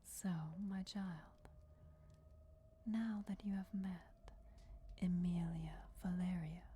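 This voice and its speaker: A woman voicing an ancient, regal and patient vampire deity in a soft, breathy whisper, formal and deliberate, for a fantasy ASMR roleplay. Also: fairly low pitch, fairly even intonation. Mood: solemn, patient. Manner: slow, deliberate, formal, measured. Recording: clear background noise, crypt ambience. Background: crypt ambience.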